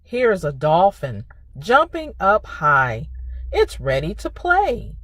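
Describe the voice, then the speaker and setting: Expressive woman reading for preschoolers, unhurried. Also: fairly low pitch, no echo. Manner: simple, expressive phrasing aimed at young children, with questions. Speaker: children's librarian and storytime host. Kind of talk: preschool storytime reading and narration.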